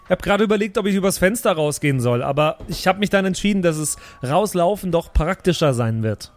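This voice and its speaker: A man's voice: high voice, animated, fast talker. A man chatting casually as a player in an improvised role-playing audio drama. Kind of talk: casual improvised in-character chat.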